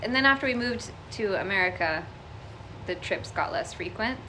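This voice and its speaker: A woman's voice: medium pitch, expressive, normal speed, bright, forceful tone, a little hiss or hum, rough recording. A woman raised in El Paso and near Dubai, recalling her childhood fondly. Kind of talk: documentary interview.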